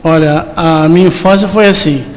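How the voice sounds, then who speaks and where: A man in his forties with a high-pitched voice. He answers as an interview guest, politely and simply, often hesitating and adding tag questions. The recording rings like a large hall. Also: fairly even intonation, normal speed, slight background noise. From rural interior of Rio de Janeiro state, Brazil. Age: 40s. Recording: reverberant hall.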